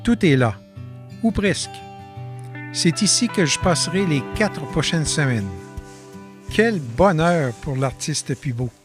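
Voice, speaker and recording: A man with a Quebec French accent narrating a documentary about his artist residency in a calm, measured voice-over. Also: on the high side, over music.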